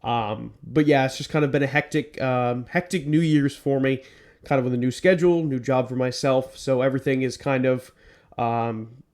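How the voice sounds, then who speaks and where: A man's voice: medium pitch, fairly even intonation, moderate pace, a little mellow. A man hosting his own podcast, sounding stuffed up from a cold, moving from scripted narration into rambling talk full of ums. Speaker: podcast host. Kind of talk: scripted narration then casual chat.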